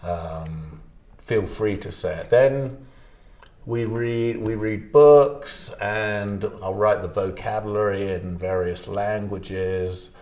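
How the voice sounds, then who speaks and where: A man who teaches English to newcomers, answering interview questions in a measured way with hesitant ums. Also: on the low side, darkish tone. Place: school classroom. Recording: roomy echo.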